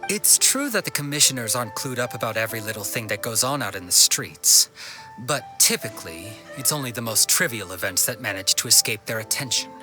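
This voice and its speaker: An actor plays an obliging, well-informed townsman in a video game. He talks with lively, swinging pitch and polite conversational manners, with music clearly playing behind him. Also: a little fast, close to an everyday voice. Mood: matter-of-fact. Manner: polite, expressive, conversational, flattering. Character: obliging, well-informed, courteous man of the town. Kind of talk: scripted video game dialogue. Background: background music.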